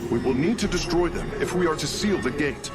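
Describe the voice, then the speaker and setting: Alien engineer male actor: analytical, formal, fairly high voice with lively movement, sci-fi game, loud music. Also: a little fast, close to an everyday voice. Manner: formal, precise. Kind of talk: video game cutscene acting.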